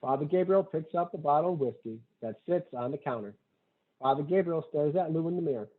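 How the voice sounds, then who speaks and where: A man's voice: a little high, lively intonation, a little fast. A man who wrote a screenplay, reading its stage directions and talking as narrator of the table read. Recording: tinny, phone-like call audio.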